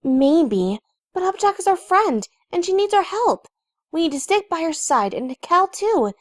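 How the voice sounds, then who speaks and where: A woman voicing a sensible, caring young female pony with an earnest, gently firm manner in a cartoon audio drama. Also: very high-pitched, sing-song, normal speed, no room sound, studio quality. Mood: concerned. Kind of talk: animated fan audio drama.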